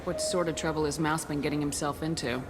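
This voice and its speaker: An actress playing a direct, controlled military commander in a sci-fi video game, with a probing, firm manner. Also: female voice, low-pitched, fairly even intonation, fast talker, natural voice. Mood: questioning. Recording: clear background noise, vehicle ambience.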